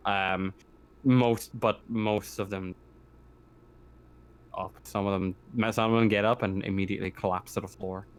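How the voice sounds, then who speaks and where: A man working as game master, narrating a sci-fi tabletop roleplaying game in a halting, improvised way. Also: lowish voice, fairly even intonation, clear, resonant tone.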